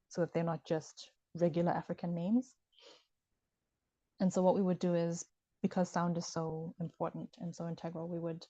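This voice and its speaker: A woman talks through her ideas in a calm, measured interview. Her voice sits low and mellow, with little rise and fall. The audio is dull and lo-fi with a dry, close sound. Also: no echo. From Ghana. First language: Ewe. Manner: measured, explanatory, thinks aloud. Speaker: author discussing her work. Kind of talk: conversational interview.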